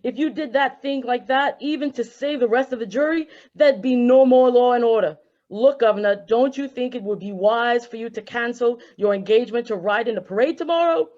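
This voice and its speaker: A woman's voice: on the high side, brisk, hardly any pauses, darkish tone, close to an everyday voice. A woman playing a worried, deferential official speaking on the telephone in a radio drama. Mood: earnest concern. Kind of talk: old-time radio drama acting. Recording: dull, muffled audio.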